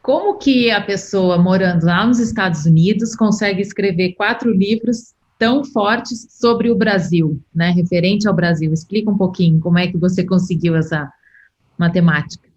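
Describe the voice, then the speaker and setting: A woman's voice: fairly low pitch, moderate pace, darkish tone. A Brazilian woman hosting an interview from London and putting friendly questions to her guest. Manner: friendly, brief acknowledgments. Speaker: podcast host. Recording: dull lo-fi call audio.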